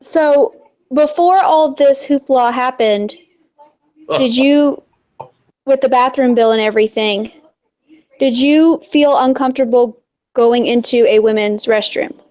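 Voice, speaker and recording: A woman's voice: high voice, a little slow, long pauses, mellow. Polite woman asking careful questions. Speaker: caller. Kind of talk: casual group chat. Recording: dull lo-fi call audio.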